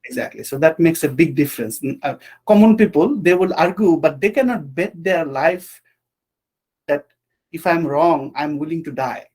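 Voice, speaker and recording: Husky earnest man from Bangladesh. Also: on the high side, lively intonation, a little mellow. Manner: earnest, halting with restarts and fillers. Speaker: podcast host. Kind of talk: conversational interview.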